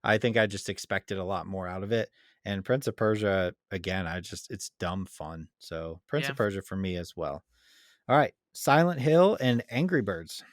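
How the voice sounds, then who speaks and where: A man's voice: low-pitched, expressive, moderate pace, dry recording, clean studio sound. A man co-hosting a podcast in casual debate, easygoing as he prompts his partner. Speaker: podcast co-host.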